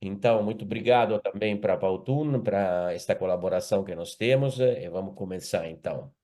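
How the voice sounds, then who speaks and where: Brief: Italian-accented man, lowish voice, formal webinar presenter on a video call. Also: fairly quick, warm tone. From Italy. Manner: formal, hesitant with fillers. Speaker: company executive guest.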